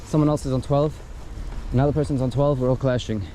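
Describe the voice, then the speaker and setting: A man's voice: mid-range voice, steady tone, quick pace. A man giving a casual hands-on product demonstration, explaining things step by step as he goes, recorded outdoors. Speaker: gadget reviewer. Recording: loud wind and outdoor ambient noise.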